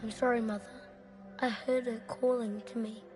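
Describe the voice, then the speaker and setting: A performer playing a gentle young boy in a video game cutscene, speaking softly and earnestly. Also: mid-range voice, little pitch movement, normal speed, faint music behind, lightly acted. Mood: apologetic.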